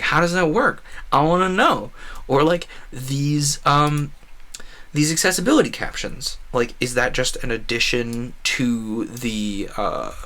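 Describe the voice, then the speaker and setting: A man streaming a video game talks casually and at length, drifting between tangents and opinions. His voice is bright and forward, with a lively, swooping pitch that keeps his chatty commentary animated.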